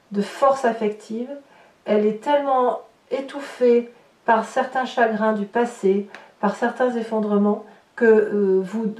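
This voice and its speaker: A woman giving an informal tarot reading to viewers, in a halting way with repeated words and little tag questions. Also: on the high side, a little mellow. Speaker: tarot reader presenting horoscope readings. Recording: roomy echo, distant mic, faint noise.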